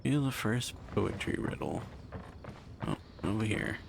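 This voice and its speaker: A man giving casual, low-key murmured reactions as he plays a video game. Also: animated, measured pace, creaky, very noisy. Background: loud game music and dialogue.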